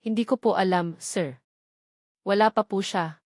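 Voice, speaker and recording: A woman's voice: mid-range voice, measured pace, a little mellow, no echo, studio quality, close to an everyday voice. A woman voicing a polite, puzzled young female office assistant in a storybook reading.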